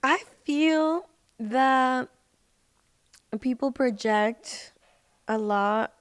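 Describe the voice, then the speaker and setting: Clear, high-voiced woman in casual chat. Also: extremely slow, dry recording. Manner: laid-back, slangy hip-hop phrasing. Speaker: guest, musician and social media personality. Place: podcast recording.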